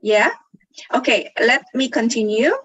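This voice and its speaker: A woman's voice: fairly high pitch, a little slow, fairly bright tone, husky, no room sound, rough recording. A woman with an Indonesian accent moderating a webinar over a video call, formally reading out a speaker's introduction. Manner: formal, reads introductions carefully. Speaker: seminar moderator.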